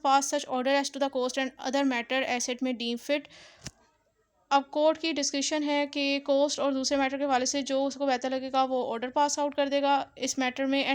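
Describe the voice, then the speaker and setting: A woman's voice: sits high, clean tone. A woman with a Pakistani accent giving an explanatory law lecture, reading legal text aloud and explaining it in an instructional way.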